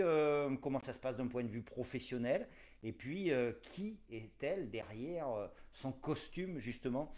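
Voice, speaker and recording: A man hosting a live interview show from home, talking in a relaxed, chatty way with many fillers and playful asides. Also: fairly high pitch. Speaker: host.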